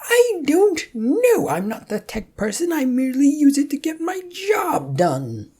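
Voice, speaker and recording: A woman's voice: high-pitched, huge pitch swings, unhurried, mellow, voice pushed up, full character voice. A woman voicing an irate, bossy male office boss in an audiobook-style story, with a nasal, demanding delivery. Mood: panicked, huffy. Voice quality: annoying nasal voice. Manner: demanding, exasperated, blustering.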